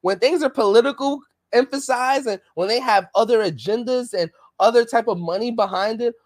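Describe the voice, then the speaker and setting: Ranting podcast co-host, heavy swearing, mid-pitched voice, dead-dry close sound. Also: brisk, dry recording. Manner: passionate, ranting, swears often. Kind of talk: casual political chat.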